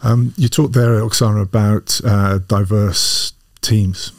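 A man's voice: lowish voice, steady tone, slow, creaky, no echo, studio quality. A man hosting an interview, asking thoughtful, probing questions. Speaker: podcast host and MBA teacher. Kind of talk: interview conversation.

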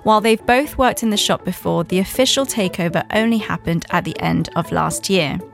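A woman hosting a studio podcast interview, asking questions in a friendly, measured way. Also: medium pitch. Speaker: podcast host.

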